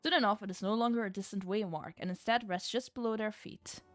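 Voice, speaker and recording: A woman's voice: medium pitch, steady tone, fast talker, no room sound. A woman streamer reading a visual novel aloud like a storybook, dropping in casual, playful, sweary asides. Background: music.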